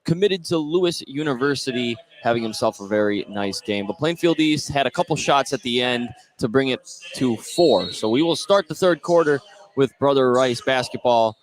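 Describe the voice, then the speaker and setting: A man's voice: mid-range voice, animated, normal speed, low-quality recording. A man doing live play-by-play commentary of a basketball game. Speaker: play-by-play announcer. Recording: live gym noise behind the voice. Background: gym ambience of a basketball game.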